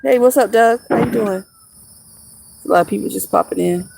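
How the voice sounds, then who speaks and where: A woman's voice: mid-range voice, moderate pace, creaky, darkish tone, slight background noise, poor audio. Chatty woman in her thirties. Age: 30s. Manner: chatty, laughs often, teasing viewers. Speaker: vlogger. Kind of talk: casual livestream chat. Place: outdoors in the woods.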